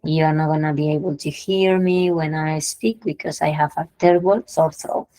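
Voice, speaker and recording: A woman's voice: deep voice, fairly even intonation, moderate pace, dark tone, dry recording, rough recording. A woman teaching English idioms in an online class, explaining patiently, repeating phrases and checking in with her students. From Peru.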